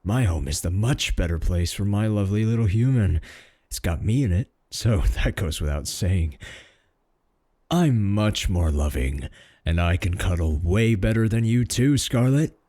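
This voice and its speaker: A man playing a clingy, cocky male werewolf in a comedic roleplay audio drama, boastful, sarcastic and pleading, with a husky voice. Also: deep voice, animated, unhurried, mellow, no echo, studio quality. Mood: boastful, doting.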